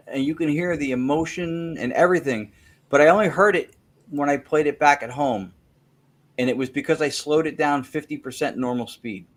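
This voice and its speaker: A man as an interview guest, explaining a technical method in detail and repeating words as he thinks. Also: medium pitch. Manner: self-correcting, repeats words while thinking. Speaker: guest, spirit-communication researcher.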